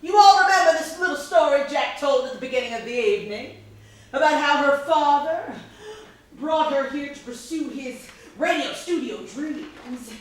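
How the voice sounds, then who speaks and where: A woman's voice: high voice, normal speed, lightly acted. A woman playing a blunt, chatty know-it-all radio performer in a staged reading. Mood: accusing. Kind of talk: stage acting. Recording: very echoey hall, distant mic, faint noise.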